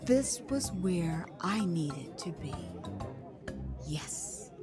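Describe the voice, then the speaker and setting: An American woman narrating her own story as a dramatized audiobook, expressive and theatrical, with conversational asides. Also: fairly low pitch, drawn-out words. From United States. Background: music.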